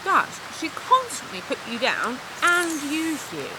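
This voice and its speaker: A high, very animated British woman played by an actress in a radio comedy drama. She is a blunt, prodding workmate who cares more than she admits. Steady rain and hiss sit behind her. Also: measured pace, exaggerated voice. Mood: incredulous. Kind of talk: naturalistic audio comedy drama.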